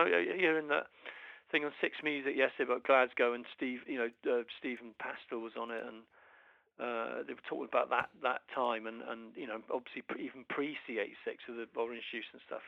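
A man from Wales, a musician guest in a casual interview, talking haltingly with many restarts and fillers. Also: mid-range voice, steady tone, creaky, no echo, lo-fi sound.

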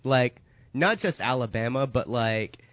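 A man's voice: medium pitch, expressive, unhurried, fairly bright tone, dry recording, lo-fi sound. A man from the American South co-hosting a casual radio talk show, teasing and laughing, stuffed up from his sinuses. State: congested from sinuses.

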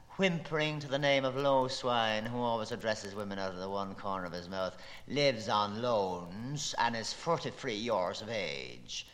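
A man's voice: on the low side, fairly bright tone. A man giving a theatrical, declamatory and playful reading of literary prose, relishing the wordplay. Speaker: reader. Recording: long hall-like reverb.

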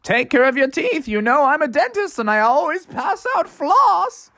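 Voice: high voice, very animated, normal speed, a bit bright, no echo, studio quality, pitched above the performer's own voice, full character voice. A storyteller voicing a cheerful male rabbit dentist who hands out floss, in a chirpy, old-fashioned manner. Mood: chipper.

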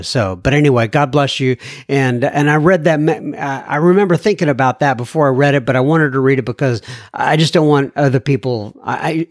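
A man's voice: mid-range voice, dry recording, studio quality. A man hosting a recovery podcast, reading listener letters aloud and adding folksy asides with frequent restarts. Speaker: podcast host.

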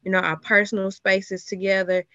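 A woman chatting casually as a podcast co-host, telling stories in a loud, lively way. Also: mid-range voice, moderate pace, no room sound. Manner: self-described loud speaker, lively storytelling. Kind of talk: casual chat. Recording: muffled lo-fi audio.

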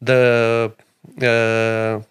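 A middle-aged man from Romania with a Romanian accent, a chef and restaurant owner speaking earnest, halting English in a podcast interview. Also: mid-range voice, completely flat delivery, fairly bright tone, clean tone. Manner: broken English, earnest and emphatic, many pauses.

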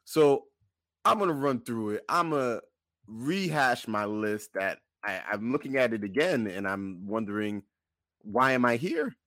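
Animated man, casual podcast banter. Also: medium pitch, unhurried, dry recording, clean studio sound. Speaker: podcast co-host.

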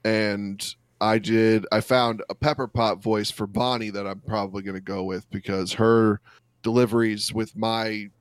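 A man's voice: on the low side, little pitch movement, measured pace, clear, resonant tone, no room sound. A man chatting casually as a podcast host and sketch writer, rambling and enthusiastic, swearing freely.

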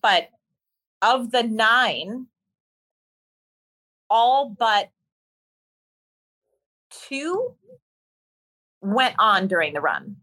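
A woman who performs in musical theater, speaking as an interview guest, telling stories in a candid, animated, conversational way. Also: on the high side, extremely slow, pauses often, rough recording.

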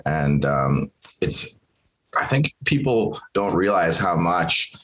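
A young man from Kenya whose first language is Swahili, hosting an interview in a relaxed, chatty way with frequent fillers and restarts. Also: low-pitched, slow pace, rough recording. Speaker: podcast host. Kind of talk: conversational interview.

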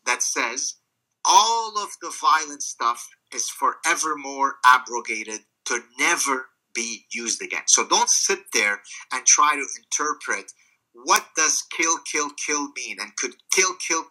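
Emphatic man with a bright voice. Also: mid-range voice, rough recording. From Lebanon. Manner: emphatic, repeats words, slows down to stress points. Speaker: host of a live audio discussion. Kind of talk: lecturing, argumentative talk. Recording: online audio room.

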